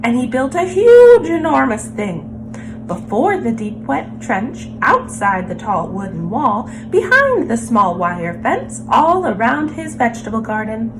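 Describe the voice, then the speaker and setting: Female read-aloud host for kids. Expressive, very animated delivery, drawn-out key words, relaxed pace. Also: a little high, music in the background, low-quality recording. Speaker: story time host. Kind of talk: animated children's picture book read-aloud.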